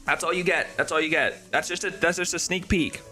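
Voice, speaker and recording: A man with a nasal voice chatting casually on a live stream, rambling and excitable, with faint music behind him. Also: on the high side, brisk. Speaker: shiny-hunting live streamer.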